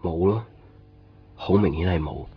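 A man's voice: sits low, unhurried, slight background noise. A man playing a brooding young boyfriend in a radio drama, subdued and plain-spoken. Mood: quiet, uneasy. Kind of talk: Cantonese radio drama narration and dialogue. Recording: dull lo-fi radio recording, music behind.

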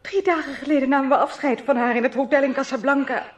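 A woman's voice: high voice, fairly even intonation, quick pace, close to an everyday voice. A woman playing an anxious, distraught young companion in a radio drama, stammering and breathless. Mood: bewildered, upset. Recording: clearly noisy old radio recording.

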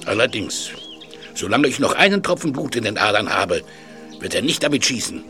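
A man's voice: fairly low pitch, big pitch swings, normal speed, sharp tone, soft background music. A man playing an eccentric animal lover in a German audio drama, tender with creatures and stubborn with people. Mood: bitter, secretive.

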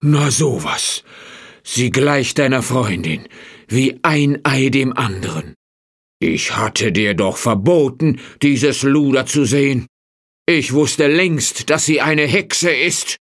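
Man playing a slow, blustering peasant husband. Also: medium pitch, expressive. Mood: outraged. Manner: emphatic, drawn-out, theatrical. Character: gullible, blustering peasant husband, easily outraged.

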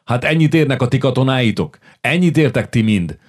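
A man voicing a stern king who shouts scornful insults in a rage. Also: medium pitch, expressive, pitched above the performer's own voice, natural voice. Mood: raging.

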